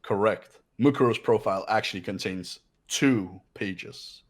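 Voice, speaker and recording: Man playing a low, husky, haughty young man. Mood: smug, analytical. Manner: pompous, impatient, deliberate. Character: haughty, condescending, coolly analytical young man. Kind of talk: voiced video game playthrough.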